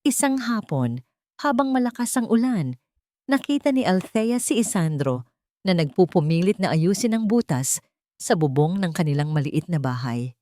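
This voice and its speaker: Female storyteller for scripted romance audio drama, lower register, very dry recording. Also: lively intonation, moderate pace, dark tone, no echo.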